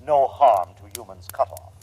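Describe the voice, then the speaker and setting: A man's voice: mid-range voice, lively intonation, normal speed, mellow, close to an everyday voice. A man playing a formal, precise, coldly boastful humanoid robot from another planet in a 1950s radio drama. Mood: smugly superior. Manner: formal, precise, matter-of-fact. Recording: noisy vintage 1950s radio recording.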